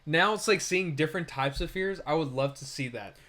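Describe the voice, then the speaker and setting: A man's voice: on the high side, fairly quick, pushed and bright. A man co-hosting a casual film review podcast, talking in an informal, slangy way. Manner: informal slangy talk, peppered with "like" and "dude". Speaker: podcast co-host reviewing films.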